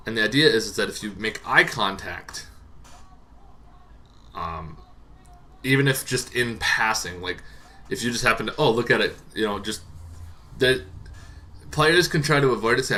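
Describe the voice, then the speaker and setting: A man hosting a tabletop roleplaying show, explaining casually and off the cuff in a rambling, halting way that repeats words. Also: fairly low pitch, pushed and bright. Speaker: host of a tabletop roleplaying monster lore show. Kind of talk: casual unscripted explainer to camera.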